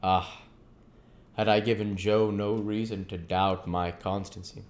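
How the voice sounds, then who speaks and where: A man's voice: lowish voice, level tone, a little slow. A man reading a classic novel aloud as an amateur audiobook narrator.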